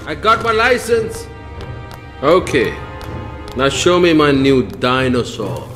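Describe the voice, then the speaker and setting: A man playing a breezy but quietly insistent wealthy park owner in video game cutscenes. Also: medium pitch, sing-song, slow, over music.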